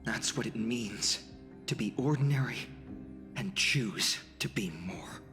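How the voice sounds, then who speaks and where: Game role: hushed, selfless inner voice, solemn, unhurried, in long reverb. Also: medium pitch, expressive, music in the background. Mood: solemn, resolute. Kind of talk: dramatic video game voice acting.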